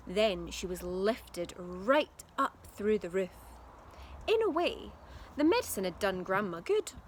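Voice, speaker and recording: A woman reading a storybook aloud expressively like a primary teacher, speaking directly to young listeners. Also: mid-range voice. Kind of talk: expressive storybook narration for children. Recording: clear background noise.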